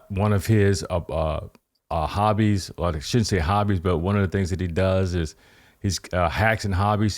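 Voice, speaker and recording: A podcast host with a fairly low voice who rambles, restarting his sentences and padding them with fillers. He sounds keen and easygoing as he sets up his questions. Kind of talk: casual interview.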